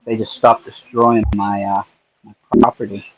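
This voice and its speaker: A man's voice: on the low side, level tone, normal speed, slightly rough, rough recording. A man hosting a casual livestream, joking and chatting to himself while he picks locks. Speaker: livestream host. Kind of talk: casual chat while lockpicking.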